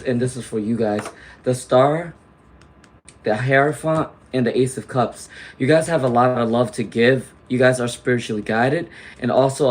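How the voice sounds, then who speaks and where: A man doing a live tarot reading, chatty and reassuring, clearing his throat often as he loses his voice to laryngitis. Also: mid-range voice, a little mellow. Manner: chatty, reassuring, frequent "okay?" and "all right?" tags. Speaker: tarot reader; teacher.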